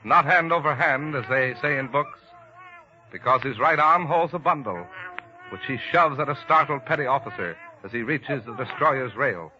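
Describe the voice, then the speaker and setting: An actor playing a solemn, declamatory narrator in the style of 1940s radio drama. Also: male voice, medium pitch, moderate pace, pushed and bright. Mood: solemn, dramatic. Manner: declamatory, emphatic. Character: solemn, dramatic male documentary announcer. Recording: muffled old 1940s radio recording.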